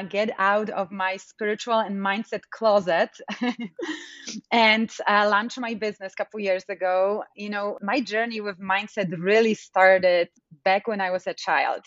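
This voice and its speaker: A Polish-accented woman tells her story with energy and feeling, often pausing on small fillers. The sound is dry and a little dull, as if recorded through a modest mic. Her voice sits in the middle of the female range. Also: mid-range voice, steady tone, fairly bright tone, dry recording. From Poland. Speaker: guest, mindset coach. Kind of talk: storytelling interview answer.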